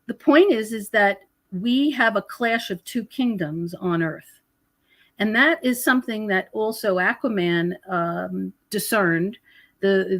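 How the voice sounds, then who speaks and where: A woman's voice: mid-range voice, fairly bright tone. An American woman speaking conversationally as a podcast guest, explaining her ideas with emphasis. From United States. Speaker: podcast guest, researcher of prophecy and UFOs.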